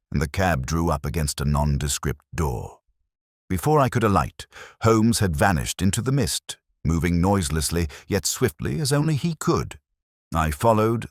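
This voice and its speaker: A man reading scripted first-person mystery narration in a measured, literary storytelling manner. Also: deep voice, dry recording, clean studio sound. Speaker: audiobook narrator.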